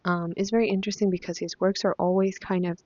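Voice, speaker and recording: A woman's voice: on the low side, level tone, fast, darkish tone, no room sound, muffled recording. A young woman whose first language is Spanish, chatting casually about books she has read, with many fillers and restarts. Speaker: vlogger. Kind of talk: casual book review chat.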